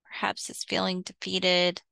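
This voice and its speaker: Female meditation guide, very slow and soothing, gentle fry in a smooth tone, close dry sound. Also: lowish voice, completely flat delivery, no echo. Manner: soothing, unhurried, with long pauses between short phrases.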